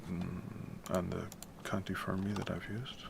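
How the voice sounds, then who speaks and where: A man with a deep baritone voice, chatting casually and rambling while he works, keeping his voice quiet in the middle of the night. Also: unhurried, vocal fry. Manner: relaxed, rambling, swears casually; talks quietly to keep the noise down. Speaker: music composition streamer. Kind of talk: casual late-night talk while working. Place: home room at night. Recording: noticeable background noise with typing.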